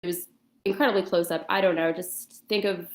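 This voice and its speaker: A woman giving a personal account as a guest, in casual conversational storytelling with somewhat halting, hesitant phrasing. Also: lowish voice, steady tone. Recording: roomy, echoing voice chat.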